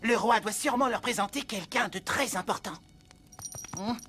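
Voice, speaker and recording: A woman's voice: fairly high pitch, moderate pace, over music, natural voice. A woman voicing a small, playful, dreamy sidekick creature in a cartoon, with a laid-back manner. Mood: easygoing, playful. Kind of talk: cartoon voice acting.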